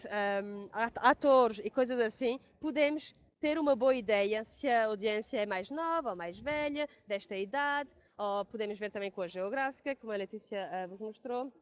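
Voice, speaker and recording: A woman with a European Portuguese accent speaks on a webinar call, her voice a touch higher than most. She talks hesitantly, restarting phrases and laughing easily. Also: highs cut off. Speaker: pre-sales engineer presenting software. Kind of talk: webinar presentation. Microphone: video call.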